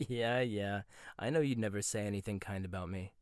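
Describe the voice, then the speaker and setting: A man's voice: fairly low pitch, normal speed, a little mellow, smooth, dry recording, clean studio sound, close to an everyday voice. A young man playing a cocky, condescending rival chef in a boyfriend ASMR roleplay, teasing and smug, often laughing. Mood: bossy. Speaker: voice actor.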